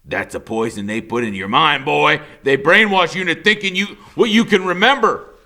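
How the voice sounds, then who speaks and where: A man's voice: fairly high pitch, moderate pace, pushed and bright, voice pushed up. A man voicing a menacing, violent father in an audiobook, with a threatening, scornful, domineering manner. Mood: cold, insistent. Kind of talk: dramatic audiobook character reading.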